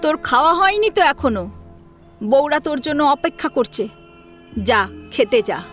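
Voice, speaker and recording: A woman's voice: high voice, big pitch swings, a little slow, fairly bright tone, faint music behind, full character voice. A woman voicing an elderly mother in an audio story, evasive, defensive and dismissive with her daughter. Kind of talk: Bengali audio story acting.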